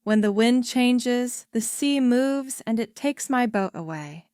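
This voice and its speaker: A woman voicing a grave, resigned king who speaks quietly and sadly, in a smooth, clear voice. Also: a little high, lively intonation, slow, no room sound, studio quality. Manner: quiet, soft-spoken and resigned. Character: grave, sorrowful man, an abdicating king.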